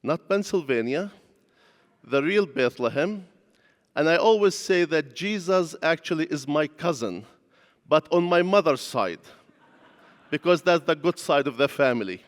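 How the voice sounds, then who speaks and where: A man's voice: a little high, expressive, a little slow. A man from Palestine, a pastor and church executive, giving an earnest public lecture with joking asides. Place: lecture hall. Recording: echoey hall from far off.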